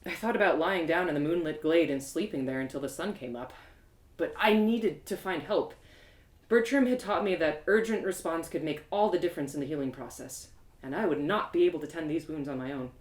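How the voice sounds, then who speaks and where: A woman reading a story live in an expressive, theatrical way, as host and narrator. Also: sits low, fairly quick. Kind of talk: animated first-person storybook narration. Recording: echoey room.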